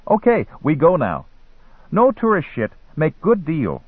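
An eager teenage boy hustler from an audiobook story, acted out by a man. The voice is raised high and bounces around as it wheedles and insists in broken, English. The sound is thin and narrow, like radio. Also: wildly swooping pitch, unhurried, breathy edge, no echo, full character voice. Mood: wheedling, insistent.

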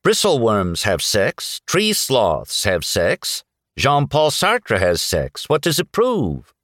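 Man as a wry, testy companion, lively pitch. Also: takes it slowly, no echo, clean studio sound, full character voice. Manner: matter-of-fact, testy, wry. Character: mild, sardonic, morose companion and questioner.

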